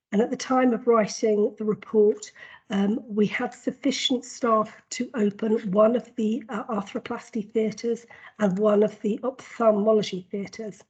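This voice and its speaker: A woman with a cold and a husky voice, presenting a formal report at a board meeting in a measured way, with frequent ums and repeated words. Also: a little high, darkish tone, highs cut off. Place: board meeting, video call.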